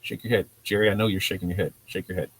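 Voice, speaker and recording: A man hosting a casual livestream lesson, speaking informally and haltingly, often checking in with his listeners. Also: deep voice, fairly even intonation, a bit bright, slightly rough, poor audio. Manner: informal, halting, conversational, often checks in with "you know" and "right". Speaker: host and presenter of a livestream marketing talk for makers. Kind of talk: casual livestream teaching talk to viewers in a chat.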